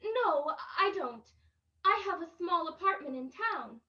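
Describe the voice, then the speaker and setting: A woman's voice: very high-pitched, lively intonation, unhurried, pushed and bright, exaggerated voice. A woman playing an anxious, insistent young niece in an old-time radio drama, with a hesitant, emotional delivery. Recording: distant, dull lo-fi sound.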